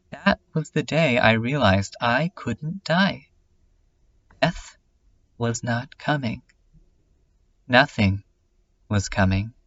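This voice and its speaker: A man playing a lonely, despairing last survivor, narrating a bleak diary in a halting, confessional way. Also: mid-range voice, a bit bright, highs cut off, full character voice. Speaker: narrator of a horror comedy fan dub. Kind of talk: slow first-person scripted narration.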